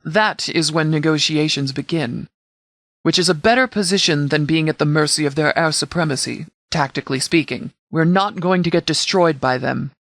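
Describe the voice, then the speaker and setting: A man playing a composed, pragmatic military commander in a video game, speaking in a formal, measured, tactical way. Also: a little high, a bit bright, no room sound. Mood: composed, authoritative. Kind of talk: video game dialogue acting.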